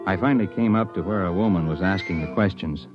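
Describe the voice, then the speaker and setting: A male actor playing a thoughtful investigator of about forty, with measured, conversational delivery in 1950s radio drama. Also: low-pitched, faint music behind, lightly acted. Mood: narrating, intent. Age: 40s. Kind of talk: 1950s radio drama, first-person noir narration. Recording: muffled vintage radio recording.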